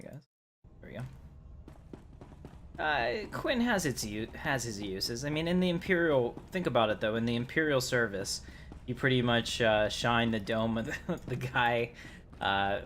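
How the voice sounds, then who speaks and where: A man's voice: medium pitch, fairly bright tone. A man giving casual, chatty livestream commentary while playing a video game, with some faint background noise.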